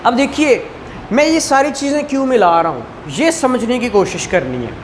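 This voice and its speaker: A man's voice: very high voice, expressive, normal speed, slight background noise. A man with a Pakistani Punjabi accent giving a didactic classroom law lecture, repeating points and questioning students. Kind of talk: classroom lecture, code-switching into English terms.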